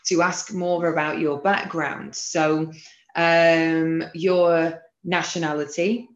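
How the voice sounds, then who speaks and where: Casting: English-accented woman podcast interviewer, slightly low voice, casual delivery, somewhat muffled recording. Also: fairly even intonation, slow. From Manchester, England. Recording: roomy echo, slightly dull lo-fi sound.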